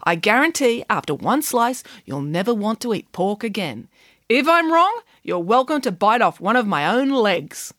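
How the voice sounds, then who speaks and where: A woman reading a storybook voices a bold young piglet girl in a high, bossy, lecturing voice. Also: big pitch swings, moderate pace, fairly bright tone, no room sound, studio quality.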